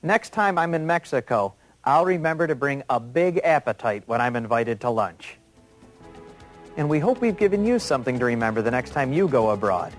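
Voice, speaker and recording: A man's voice: mid-range voice, big pitch swings, moderate pace, faint music behind. A man presenting a scripted television report as the anchor, handing over to reporters. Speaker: show anchor.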